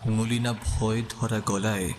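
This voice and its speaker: A man's voice: fairly low pitch, flat delivery, slow, a little mellow. A man narrating a scripted suspense audio story as the storyteller. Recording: long reverb, clear background ambience noise.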